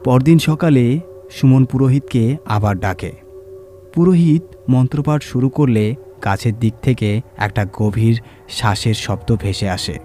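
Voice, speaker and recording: A man narrating a scripted horror story in a measured, suspenseful, dramatic storytelling manner. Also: mid-range voice, warm tone. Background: music.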